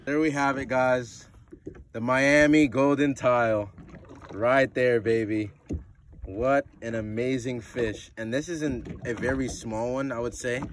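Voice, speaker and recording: A young man giving casual, excited fishing commentary in short, repeated exclamations, recorded outdoors on the water. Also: on the low side. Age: young adult. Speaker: fishing vlogger. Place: outdoors on a jet ski at sea. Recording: noisy outdoor boat recording. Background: boat and open-water noise.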